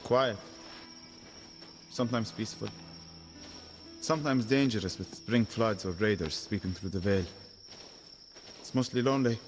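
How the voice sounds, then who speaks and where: Man playing a low-voiced, world-weary shepherd guide. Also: normal speed, long pauses, soft background music, lightly acted. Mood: guarded. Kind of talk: dramatic audio game acting.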